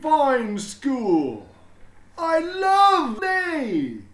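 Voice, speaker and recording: Exuberant, booming storybook school principal. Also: male voice, huge pitch swings, a bit bright, reverberant, exaggerated voice. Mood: gushing delight. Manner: booming, drawn-out, emphatic delivery. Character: exuberant, proud, school-obsessed male principal. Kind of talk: children's storybook character voice.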